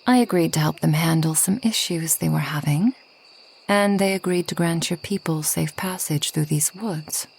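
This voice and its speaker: A woman playing a reclusive, ageless forest witch in a soft ASMR roleplay, gentle and wry, often slipping toward a whisper. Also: low voice, normal speed, creaky, husky, full character voice, poor audio. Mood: soft, wistful. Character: reclusive, centuries-old kind but proud forest witch.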